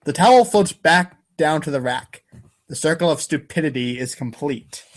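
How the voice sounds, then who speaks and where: A man's voice: fairly high pitch, animated, normal speed. A man giving a playful, theatrical, exclamatory reading of a comic as its narrator. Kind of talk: playful dramatic reading of a webcomic.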